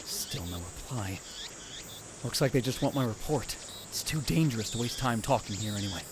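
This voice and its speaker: A man voicing a dramatic young self-styled mad scientist, narrating in the first person in a hushed, grave, theatrical way. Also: medium pitch, animated, normal speed, noisy background, close to an everyday voice. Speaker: narrator and lead in fan dub. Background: music.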